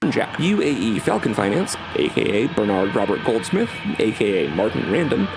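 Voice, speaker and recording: A man's voice: on the low side, very animated, normal speed. A man narrating his own scripted video, heard as playback. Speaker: video creator. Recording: played-back video with music underneath.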